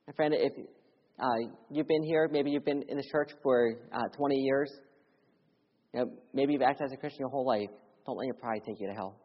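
A young man preaches with earnest urgency, his voice slightly husky as it swings from loud appeals to hushed, quiet lows. His words ring out with a long reverb through a church sanctuary. The recording itself sounds dull and muffled. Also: fairly quick. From Philadelphia. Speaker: missionary preacher. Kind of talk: sermon with personal testimony.

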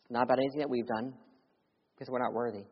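A young man from Philadelphia preaching a sermon as a missionary, mixing personal testimony with earnest appeals, his voice rising loud and urgent, then dropping to hushed lows. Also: steady tone, racing, slightly rough. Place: church sanctuary. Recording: dull audio with long church reverb.

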